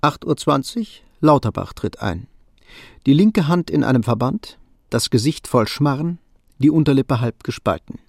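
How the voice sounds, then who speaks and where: An actor as the wry, observant narrator of a radio drama, telling the story vividly and with irony. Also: male voice, medium pitch, animated, moderate pace, creaky, darkish tone. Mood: dry irony.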